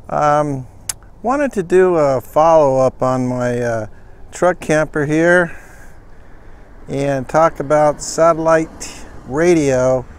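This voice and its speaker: A man from Southern California giving a casual, folksy how-to walkthrough of his truck camper, rambling and correcting himself as he goes. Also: medium pitch. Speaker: vlogger.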